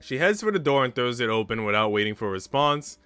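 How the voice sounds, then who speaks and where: Clear-voiced young man livestreaming. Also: medium pitch, big pitch swings, fast talker, sharp tone, no echo, faint music behind. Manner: slangy, swearing, informal. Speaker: livestream host. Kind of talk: casual livestream chat.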